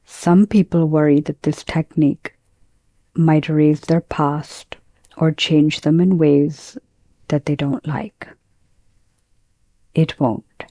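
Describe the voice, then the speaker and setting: A woman teaching guided meditation, speaking in a calm, gentle, measured way with pauses between phrases. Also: deep voice, no room sound.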